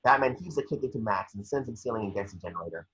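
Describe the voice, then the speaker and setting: A man's voice: lowish voice, animated, very fast, fairly bright tone, slightly rough, dull audio. A man narrating screenplay action in an amateur table read, occasionally stumbling over the script. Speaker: scene-description reader.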